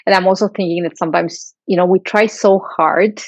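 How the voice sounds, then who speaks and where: Casting note: woman host, soft and thoughtful, gravelly low voice, slightly dull recording. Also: steady tone, fairly quick, no echo. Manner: soft, thoughtful, warm questioning with light laughs. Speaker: podcast host. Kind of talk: podcast interview.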